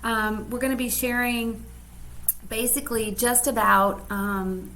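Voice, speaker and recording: Female livestream co-host, a touch higher pitched, easygoing and loose, a slight hiss in the line. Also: fairly even intonation, unhurried, bright, forceful tone, poor audio. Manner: rambling, many fillers. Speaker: co-host of weekly live show. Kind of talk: casual livestream chat.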